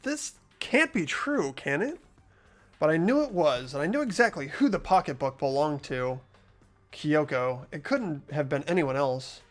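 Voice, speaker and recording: A man's voice: fairly high pitch, animated, measured pace, faint music behind. A man voicing an earnest, anxious teenage boy investigator, narrating and questioning in a video game readthrough. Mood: troubled, questioning. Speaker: host of playthrough. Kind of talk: amateur game voice acting.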